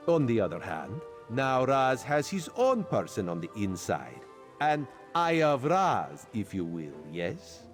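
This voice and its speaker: Slow, swooping sly cat-man spy. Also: mid-range voice. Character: sly male cat-man spy who talks of himself in third person. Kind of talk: video game character dialogue.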